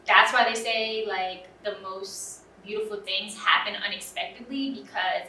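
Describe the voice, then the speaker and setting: A woman's voice: medium pitch, steady tone, a little slow, sharp tone, distant mic. A young woman student answering interview questions in an earnest, casual way, with many "um"s and "you know"s. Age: young adult. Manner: earnest, filler-heavy with frequent "um" and "you know". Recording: roomy, off-mic with faint ambience.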